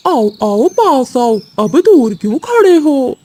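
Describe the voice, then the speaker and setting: A woman's voice: sits high, sing-song, slow pace, darkish tone, exaggerated voice. A woman voicing a stern, protective male bird in a children's cartoon, with an admonishing manner. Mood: stern, challenging.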